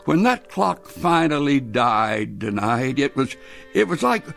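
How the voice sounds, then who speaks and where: Creaky, halting old grandfather. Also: mid-range voice, very animated, a bit bright, soft background music, exaggerated voice. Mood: wondering, elated. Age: older. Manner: folksy, halting, stammering. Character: gentle, stubborn old great-grandfather, newly lifted in spirit. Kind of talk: radio drama acting.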